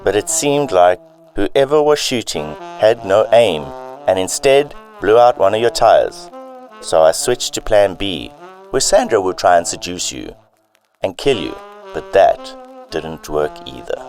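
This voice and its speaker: Deep-voiced corrupt police chief, acted by a man. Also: sing-song, darkish tone, faint music behind, full character voice, rough recording. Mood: scheming, boastful. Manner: deliberate villainous monologue. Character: corrupt police chief, scheming and menacing crime boss. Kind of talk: amateur radio drama acting.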